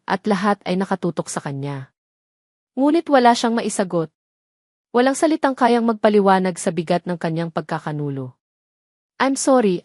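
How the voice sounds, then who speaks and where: A woman narrates a dramatic story with solemn, measured phrasing. Her voice sits at an ordinary middle pitch. The sound is dry and close, with no room around it. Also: a little mellow, no room sound.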